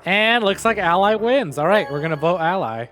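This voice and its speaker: A man's voice: sits high, animated, normal speed, a bit bright, soft background music. A man livestreaming a game in a casual, chatty, informal way, talking with his viewers. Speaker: streamer hosting a visual novel playthrough. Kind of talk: casual livestream commentary mixed with reading game dialogue aloud.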